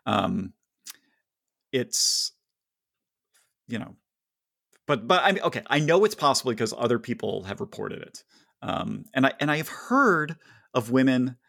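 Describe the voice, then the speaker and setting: A man's voice: mid-range voice, very animated, measured pace, gravelly, pauses often, a bit bright, dry recording. A man co-hosting a podcast, reading listener questions aloud and joking dryly. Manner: dry, wry, reads listener letters aloud. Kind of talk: casual podcast chat.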